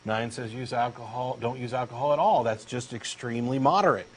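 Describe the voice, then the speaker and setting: A man's voice: medium pitch, brisk, a little hiss or hum. A man with a Texan accent reading a comic dialogue as an eager, insistent believer. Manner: eager, insistent true believer, earnest and pushy. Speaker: co-host doing a comic dramatic reading.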